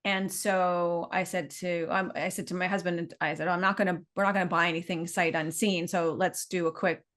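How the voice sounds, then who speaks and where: A woman's voice: fairly low pitch, flat delivery, normal speed, a bit bright. A middle-aged woman with a Canadian accent, telling her story in a chatty, meandering, informal way as an interview guest. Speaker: business owner being interviewed.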